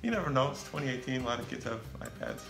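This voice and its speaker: A young American man chatting casually as co-host, relaxed and rambling with fillers. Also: medium pitch, very fast, vocal fry. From United States. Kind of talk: casual chat. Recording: echoey room with faint music.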